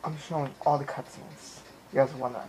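A man's voice: mid-range voice, steady tone, quick pace, mellow, a little hiss or hum, low-quality recording. A man giving casual, off-the-cuff commentary as he plays a video game, muttering reactions and apologetic asides. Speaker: gaming video maker, player. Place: small room at home, filming a TV screen. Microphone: handheld camera or phone mic. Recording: camera mic in room, game music. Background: video game music.

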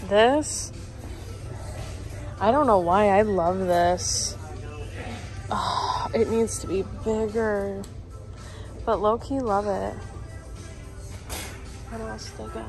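A woman's voice: mid-range voice, very slow, long pauses, rough recording. A young woman vlogging while she shops, chatting and thinking aloud about items on the shelves. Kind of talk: casual shopping vlog chat. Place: large retail store, indoors. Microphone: handheld phone camera. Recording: store music playing in background. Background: store music overhead.

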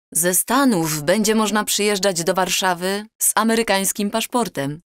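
A woman playing an earnest married time traveler in an audio drama, speaking deliberately. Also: mid-range voice, no room sound, studio quality, natural voice.

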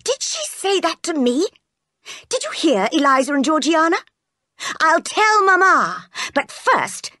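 A woman's voice: high-pitched, big pitch swings, measured pace, bright, forceful tone, no room sound, clean studio sound, voice pushed up, full character voice. A woman voicing an arrogant, spoiled young rich boy in a storybook, haughty and accusing. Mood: outraged.

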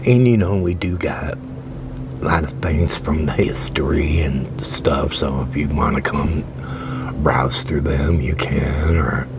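A man, whispering softly and haltingly in an ASMR librarian roleplay, often murmuring little affirmations. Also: a bass voice. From United States. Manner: halting, rambling, repeats soft affirmations. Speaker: ASMR performer playing a librarian. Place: outdoors at a metal picnic table under a shelter. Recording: noisy outdoor recording with traffic-like hum.

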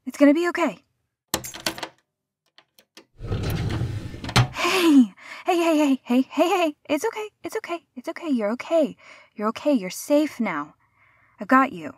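A woman's voice: sits high, lively intonation, normal speed. A woman playing a caring, flustered young rescuer in an intimate audio roleplay, speaking in a hesitant, stammering, soothing way. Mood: anxious, soothing.

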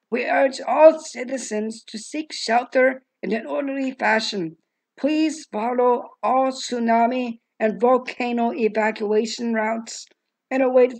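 Woman doing a tv newswoman reading an emergency warning in a story, slow formal delivery, bit of fry. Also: fairly high pitch, darkish tone, heavily acted, rough recording. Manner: measured, formal, deliberate. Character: formal female Japanese TV news correspondent issuing disaster warning. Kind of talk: emergency news bulletin.

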